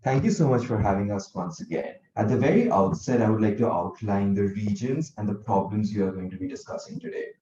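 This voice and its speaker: A man's voice: lowish voice, lively intonation, a little fast, hardly any pauses, warm tone, slight background noise. A young male student giving an earnest, formal presentation over a shared microphone on a video call. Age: young adult. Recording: muffled, roomy, distant from shared mic.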